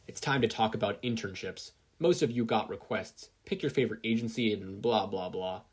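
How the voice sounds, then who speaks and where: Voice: medium pitch, moderate pace, husky, natural voice. A narrator with an American accent voicing a weary, dry, dismissive male teacher in a story reading. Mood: bored.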